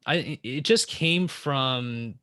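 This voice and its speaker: A man's voice: mid-range voice, drawn-out words, pushed and bright, clear, resonant tone, no echo, clean studio sound. A young man speaking as a podcast guest in casual conversation, rambling with many restarts and fillers.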